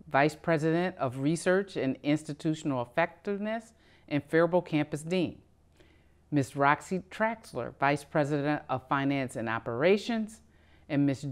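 A middle-aged woman reading a formal commencement address from a podium in a measured way. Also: low voice. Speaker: college president giving commencement address. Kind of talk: formal prepared speech. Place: studio in front of a backdrop.

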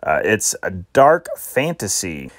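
A man's voice: sits low, big pitch swings, slow, no room sound, studio quality. A man hosting a radio program, presenting in a casual, partly scripted style. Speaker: host.

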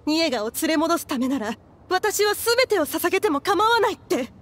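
A woman's voice: very high-pitched, sing-song, normal speed, fairly bright tone, full character voice. A woman playing a grieving, obsessive mother in a Japanese anime game, speaking with fervent emotion. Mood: grim resolve. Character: grieving, obsessive mother desperate to revive her daughter.

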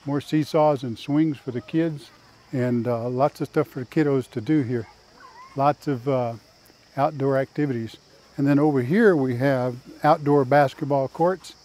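An older man with a mellow, warm voice at a middle pitch hosts a casual video tour. He speaks in a folksy, plain-spoken way as he guides viewers on foot outdoors, recorded on a lapel mic. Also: measured pace, poor audio. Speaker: vlog host and tour guide. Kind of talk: casual walking tour narration to camera. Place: outdoors in a park.

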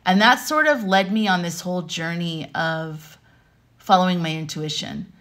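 An American woman with a fairly low-pitched voice talks casually to camera at home. Her delivery is animated and conversational, wandering through personal stories with side notes along the way. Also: moderate pace. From Arizona, United States. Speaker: vlogger and life coach. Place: home room with wooden beams, indoors.

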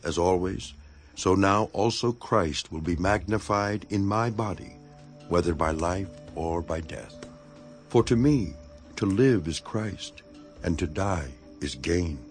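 An actor playing an earnest, devoted imprisoned apostle in a dramatized scripture reading, speaking in a solemn, measured manner. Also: male voice, lowish voice, natural voice. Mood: fervent reflection. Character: Apostle Paul, imprisoned, earnest, devoted elder writing to his flock.

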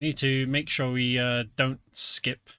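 A British man streaming a game, explaining it in a relaxed, filler-heavy casual commentary. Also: medium pitch, takes it slowly, clear, resonant tone, no room sound, studio quality. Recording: game music behind.

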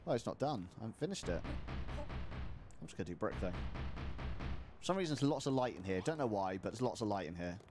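A man's voice: on the low side, animated, a little fast, long pauses, over music. A young man co-hosting a casual game stream from a small room at home, jokingly guiding viewers around a build as he plays. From United Kingdom. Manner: joking, chatty tour guide. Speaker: streamer co-host.

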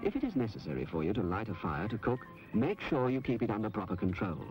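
A man reading scripted public information narration in an instructive, measured manner on an old film soundtrack. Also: on the low side, big pitch swings, poor audio. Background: music.

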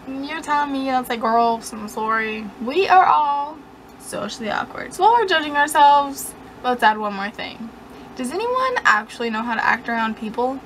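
Casting: young woman, slightly high voice, sarcastic self-deprecating vlogger, webcam in a dim bedroom, faint hiss. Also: animated, moderate pace, fairly bright tone, poor audio. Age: young adult. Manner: rambling, sarcastic and self-mocking. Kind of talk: casual chat to camera.

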